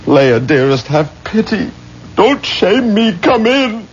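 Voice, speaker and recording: A man's voice: high-pitched, big pitch swings, slow, heavily acted. An actor playing an anxious, imploring father of a possessed daughter in a 1970s radio drama. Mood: anguished. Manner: imploring, anguished. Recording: dull, noisy 1970s radio broadcast.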